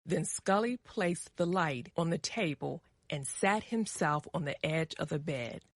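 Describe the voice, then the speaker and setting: Female story narrator, lowish register, measured pace, dramatic reading for English learners. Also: animated, dry recording.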